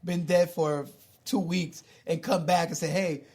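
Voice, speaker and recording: A man's voice: fairly high pitch, fairly even intonation, unhurried, darkish tone, husky. A man co-hosting a casual podcast, musing and asking big questions with lots of "like" filler.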